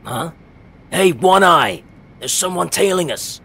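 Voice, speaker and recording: An actor playing a brash, gruff young male superhero in an audio drama, slangy and sarcastic. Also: on the high side, lively intonation, slow pace, pushed and bright, full character voice. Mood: scornful.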